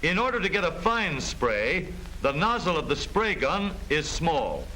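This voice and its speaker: A man with an American accent narrates in the style of a 1930s educational film. His voice sits high and swings animatedly as he explains with formal emphasis. The soundtrack is vintage, with a faint layer of noise. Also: very animated, a little slow, bright, forceful tone, low-quality recording.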